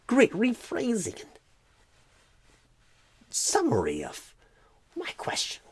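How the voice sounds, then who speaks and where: A man voicing a clueless, rambling, eccentric job recruiter in an ASMR roleplay, halting oddly mid-phrase in a breathy, husky voice that often drops to a whisper. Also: very high-pitched, wildly swooping pitch, full character voice. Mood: confused.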